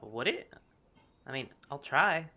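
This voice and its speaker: A man's voice: on the high side, very animated, takes it slowly, bright, forceful tone. A man giving casual, offhand video game playthrough commentary with a wry manner. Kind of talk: casual playthrough commentary, reading dialogue along.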